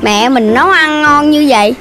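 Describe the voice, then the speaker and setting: A woman voicing a boastful, big-bellied young animal in a children's cartoon, with a childlike, whiny voice. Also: fairly bright tone. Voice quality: high, childlike. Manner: boastful, whiny when tired, drawn-out. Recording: music playing behind the voice.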